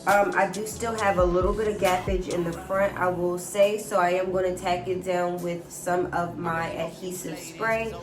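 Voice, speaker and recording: A woman's voice: on the low side, fairly even intonation, moderate pace, few pauses. Stuffy-nosed woman chatting through a tutorial. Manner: chatty, keeps addressing viewers as guys. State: stuffy nose from a cold. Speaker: hair vlogger. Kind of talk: casual wig install tutorial. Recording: roomy sound from a distant mic with music. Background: background music.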